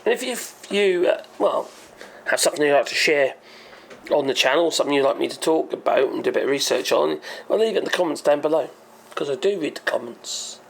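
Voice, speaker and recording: A hoarse man hosting his own channel, explaining a topic in a rambling, hesitant way and often correcting himself. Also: on the high side, expressive, fairly quick. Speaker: channel host. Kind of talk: casual explainer monologue.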